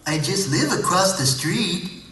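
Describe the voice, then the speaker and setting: Man playing a hapless young puppet neighbor, highish voice, glum, hesitant, naive, distant roomy playback. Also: lively intonation, measured pace, bright, forceful tone, heavily acted. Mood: timid. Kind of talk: puppet show dialogue.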